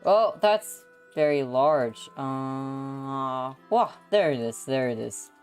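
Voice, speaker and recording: A woman livestreaming visual novel readings, chatting casually in an excitable, exclamatory way. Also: rumbling low, takes it slowly, clean tone, faint music behind. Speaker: streamer.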